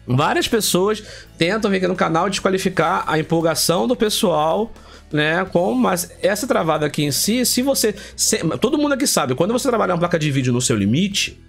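A man from Rio with a slightly high voice, stuffed up by a heavy cold. He talks like a tech channel host, colloquial and emphatic, repeating phrases to drive them home. Music plays softly behind him. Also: animated, brisk, gravelly. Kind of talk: casual tech vlog commentary.

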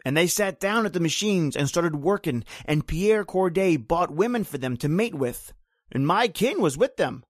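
An actor playing a bitter, grim island native who tells an old horror tale in brooding, rhythmic, folksy phrases, in old-time radio drama style. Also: male voice, on the high side, lively intonation, normal speed, no room sound, studio quality.

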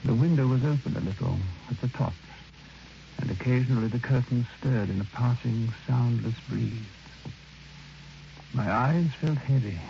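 An actor playing a reflective, haunted man who narrates his own tale in a measured, literary, solemn radio drama style. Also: mid-range voice, vocal fry, warm tone. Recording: noisy, dull old radio recording.

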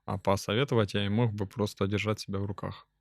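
A young man, a fitness coach, answering his wife's questions in short, calm replies, mixing Russian and Ukrainian. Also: lowish voice, quick pace, gravelly, no echo, clean studio sound. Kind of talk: casual interview answers.

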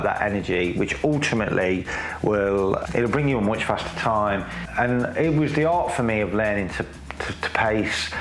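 A man in his forties, a cycling coach, explaining technique to camera in a loose, chatty way full of fillers and restarts, seated in a small room. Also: fairly low pitch. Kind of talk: casual explanatory talk to camera. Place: small room with grey wall, seated in chair. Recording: echoey room.

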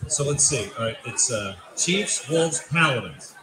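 A man's voice: mid-range voice, animated, a little slow. Easygoing male podcast host, echoey brewery. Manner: joking, easygoing. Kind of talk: casual interview. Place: brewery event. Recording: echoey room with crowd chatter.

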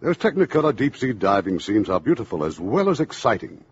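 A man's voice: medium pitch, big pitch swings, a little fast. A man hosting a 1950s radio show in a polished, courtly, scripted manner. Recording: dull, slightly noisy 1950s radio.

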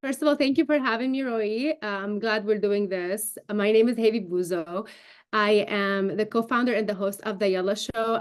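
A woman's voice: medium pitch, clear, resonant tone. Articulate Syrian-raised woman, native Arabic speaker. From Syria, raised in Damascus. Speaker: interview guest, broadcast journalist and show host. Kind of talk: remote news interview, explanatory talk. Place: indoor room, video call. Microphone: video call.

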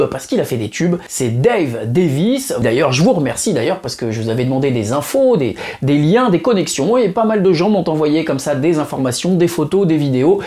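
A man in his thirties hosting his video channel, talking in a chatty, animated way to the camera from a room at home. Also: fairly high pitch. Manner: chatty, animated, many fillers. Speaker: YouTube host. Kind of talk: narrated video then interview.

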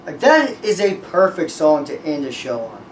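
A young man hosting a music reaction, talking casually with excited, profane, rambling praise. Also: on the high side, moderate pace, recorded from a distance. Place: small room with red backdrop. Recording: echoey room, voice a bit off-mic, faint noise.

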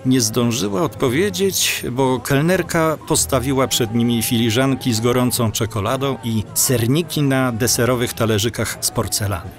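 A man's voice: mid-range voice, normal speed, hardly any pauses, soft background music. A man reading scripted story narration as the narrator of an audio drama.

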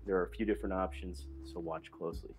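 A man's voice: sits low, quick pace. Young man chatting through a cooking demo. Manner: relaxed, chatty instructional talk to viewers. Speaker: cooking vlogger. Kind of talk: casual cooking demonstration. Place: small indoor studio kitchen set. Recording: clear background music under voice. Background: music playing under the voice.

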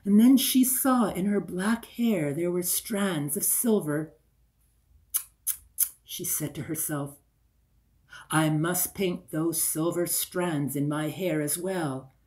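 Older guy telling a folktale, really slow and dramatic, repeats phrases for effect. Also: on the high side, darkish tone. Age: older, 60s. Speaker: storyteller. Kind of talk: dramatic oral folktale storytelling. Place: small room indoors by a window.

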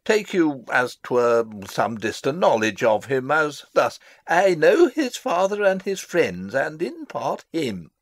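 A man's voice: fairly high pitch, big pitch swings, slow, hardly any pauses, no echo. An actor playing a fussy, long-winded old father and royal counsellor in a theatrical Shakespeare reading, pompous and rambling. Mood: fussily instructing. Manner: rambling, pompous, theatrical, losing his thread. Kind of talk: dramatic reading of a Shakespeare play.

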